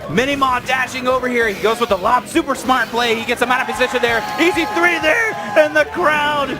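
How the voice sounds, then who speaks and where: A man giving excited, exclamatory live play-by-play commentary as an esports commentator. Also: extremely high pitch, fairly quick. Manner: exclamatory, hyped. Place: live tournament venue. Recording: loud event hall, crowd and music. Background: cheering crowd and music.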